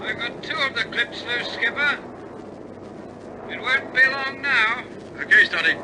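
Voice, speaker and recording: A man's voice: very high voice, measured pace. A man playing an RAF bomber crewman in an old-style radio drama, speaking in a clipped, urgent, stoic military way. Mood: focused, tense. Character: male RAF bomber crewmen in tense wartime flight. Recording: noisy old recording, loud aircraft engine drone. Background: aircraft engine roar.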